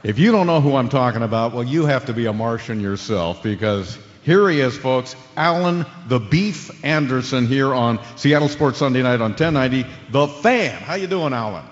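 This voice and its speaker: Guy acting as smooth sports radio host, mid-pitched, unflappable patter, kinda muffled and reverby. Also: lively intonation, normal speed, hardly any pauses, lightly acted. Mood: genial, dry. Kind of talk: radio interview and ad-read patter. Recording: dull audio in a reverberant hall.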